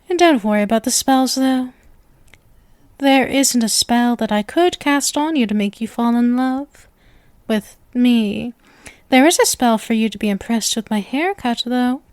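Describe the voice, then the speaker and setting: A woman's voice: on the high side, a little mellow, no echo, lightly acted. A woman playing a sweet, possessive, lovestruck young witch in an ASMR roleplay, coy and teasing as she addresses the listener. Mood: coy, boastful. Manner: coy, teasing, sugary with menacing devotion.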